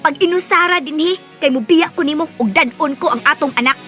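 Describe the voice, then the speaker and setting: A woman's voice: high-pitched, brisk, over loud music, close to an everyday voice. An actress playing a pleading, nagging young mother-to-be in a Bisaya radio drama. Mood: nagging, angry. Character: pregnant young woman, pleading and nagging live-in partner.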